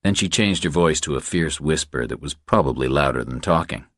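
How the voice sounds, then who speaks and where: Very deep-voiced man narrating a thriller. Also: expressive, a little fast, no room sound, studio quality. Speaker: audiobook narrator.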